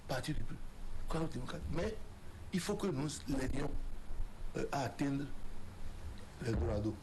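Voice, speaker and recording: A man's voice: medium pitch, expressive, moderate pace, a little mellow, slight background noise, low-quality recording. A man from Benin, speaking as a political guest in a televised debate. He talks emphatically and declaratively, often stumbling and restarting his phrases. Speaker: political guest, resigned deputy. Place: TV studio.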